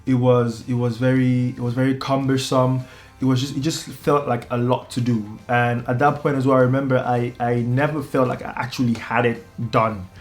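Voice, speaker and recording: A man in his twenties, a medical student, talking casually to the camera in a relaxed way, often restarting his sentences. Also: medium pitch, moderate pace, soft background music. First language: English. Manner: relaxed, repeats words and restarts, many ums. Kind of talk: casual talking-head vlog.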